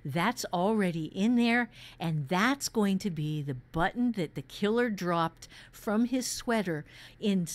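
A woman co-hosting a writing podcast, teaching conversationally and emphatically, acting out questions as she explains. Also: mid-range voice. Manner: emphatic, dramatizes imagined questions.

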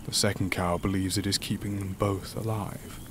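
An actor playing an imprisoned alien monster, gentle and philosophical, speaking in a formal, measured way for an audio drama. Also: male voice, low voice, little pitch movement, noisy background, close to an everyday voice. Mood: gentle, grave. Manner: formal, measured, stilted speech.

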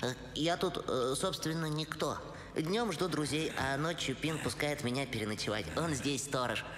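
A man's voice: a little high, normal speed, a bit bright, slight background noise, lightly acted. A man voicing a timid, naive, bookish cartoon hedgehog, hesitant and polite. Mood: shy, embarrassed. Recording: echoey hall with faint music.

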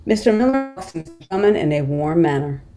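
A middle-aged woman narrates a screenplay's stage directions. Her voice sits a little low, with a dark, relaxed tone. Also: fast talker, faint music behind. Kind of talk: scripted narration. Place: small plain room at home, on video call.

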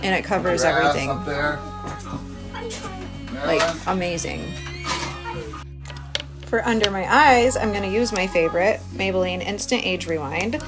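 A woman's voice: fairly low pitch, measured pace, pauses often. A woman in her thirties giving a casual, chatty makeup tutorial, explaining informally as she works. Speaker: beauty vlogger. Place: small cabin room by a window. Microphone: phone at arm's length. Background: music.

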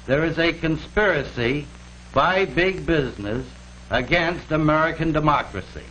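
A man's voice: fairly high pitch, a bit bright. A man reading scripted 1940s-style labor film narration in a formal, declamatory manner. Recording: noisy old archival film soundtrack.

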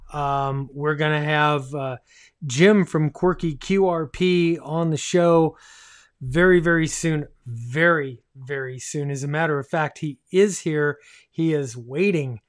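A man in his fifties hosting a casual livestream with enthusiasm, often repeating phrases for emphasis. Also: on the high side. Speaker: livestream host. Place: small home radio room, indoors.

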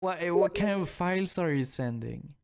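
A man chatting casually and haltingly on a live stream, sounding flustered. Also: high-pitched, animated, vocal fry, darkish tone, clean tone. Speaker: streamer and indie game developer. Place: indoor room at home.

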